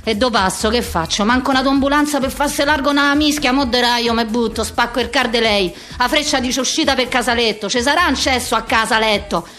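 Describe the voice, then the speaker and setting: Woman acting a high-voiced, ranting Roman office worker. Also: a little fast, hardly any pauses, fairly bright tone, faint music behind, close to an everyday voice. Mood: frantic, exasperated. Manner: ranting, coarse, rhythmic. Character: harried, foul-mouthed Roman office worker around fifty. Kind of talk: theatrical slang monologue.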